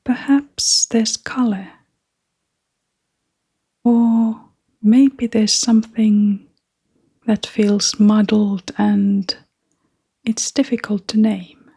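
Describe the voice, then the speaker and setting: A woman leading a guided meditation in a soft, half-whispered, breathy voice, with gentle, unhurried phrasing. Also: medium pitch, little pitch movement.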